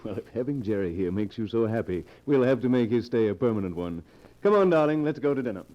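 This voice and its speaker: An actor playing a genial, trusting, well-to-do American husband in 1940s radio style, courteous and affectionate. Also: male voice, medium pitch, lively intonation, quick pace, natural voice. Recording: dull old radio recording.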